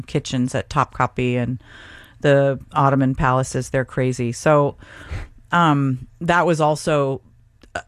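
A middle-aged woman chef from Seattle, talking thoughtfully in an interview with frequent pauses and restarts. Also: low-pitched, dry recording, studio quality. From Seattle, Washington, USA. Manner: thoughtful, hesitant with many restarts. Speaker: chef and guest. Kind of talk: radio interview conversation.

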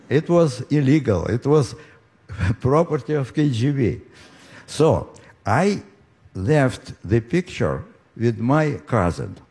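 Halting very old Russian-accented man. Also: mid-range voice, darkish tone. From Ukraine. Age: 90s. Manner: halting, with frequent pauses and restarts. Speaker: storyteller. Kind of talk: live storytelling on stage. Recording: live audience in the room.

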